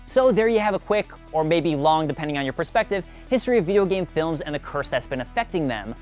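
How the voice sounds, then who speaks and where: A man's voice: fairly high pitch, animated, fast talker, over music. A man hosting a video essay, reading scripted narration with wry, conversational asides. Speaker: host of a video essay series.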